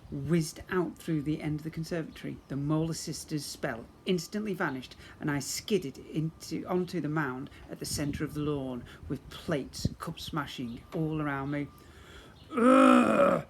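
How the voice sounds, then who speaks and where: A man reading a children's storybook aloud as a teacher, in an expressive, dramatic storytelling manner. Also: a little high, a little hiss or hum.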